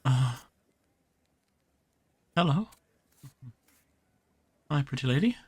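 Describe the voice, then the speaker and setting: A man's voice: medium pitch, lively intonation, very slow, slightly rough, full character voice. A man playing a dazed, hesitant, friendly fellow in an audio drama.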